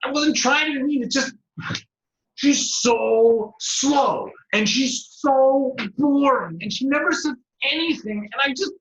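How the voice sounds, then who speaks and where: A man's voice: a bit bright, echoey room, muffled recording, full character voice. A man voicing a pushy, hyperactive young male rat who badgers and turns mean. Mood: sheepish. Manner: rapid, badgering, high-pitched.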